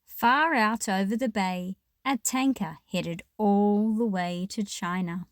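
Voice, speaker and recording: A woman's voice: medium pitch, slow, dry recording, studio quality. A woman reading a children's picture book aloud expressively, with explanatory asides to young listeners.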